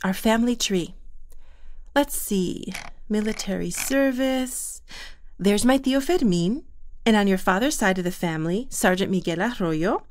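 A woman's voice: medium pitch, animated, a little slow, no echo, natural voice. A woman playing a caring, worried Puerto Rican mother in an audio drama. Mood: warm, matter-of-fact.

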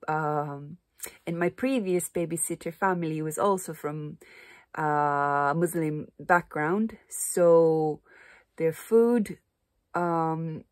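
A woman in her early thirties with a Japanese accent, talking casually to camera in halting non-native English as she searches for words. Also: fairly low pitch, darkish tone, no room sound, clean studio sound. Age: early 30s. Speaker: vlogger, Japanese language teacher. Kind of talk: casual unscripted talk to camera. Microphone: phone or camera at arm's length.

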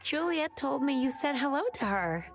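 A woman's voice: sits high, sing-song, normal speed, soft background music. A woman playing a kindly, concerned diner worker in a video game.

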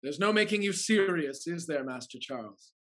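An actor playing a loyal old steward in a period comedy, anxious and earnestly admonishing, over a video call. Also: male voice, a little high, expressive, moderate pace, fairly bright tone. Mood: concerned, chiding. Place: indoors at home, video call.

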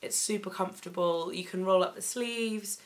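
A young woman recovering from laryngitis, her voice still slightly croaky, chatting enthusiastically through a clothing haul in her bedroom, with plenty of ums and likes. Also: mid-range voice, normal speed. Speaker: fashion vlogger. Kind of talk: casual haul vlog. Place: bedroom, indoors.

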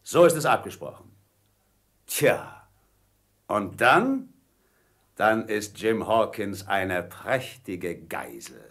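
An actor playing a cunning, commanding pirate ringleader in a radio play, theatrical, by turns wheedling and menacing. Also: male voice, on the low side, big pitch swings, slow, long pauses, fairly bright tone, full character voice. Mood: furious.

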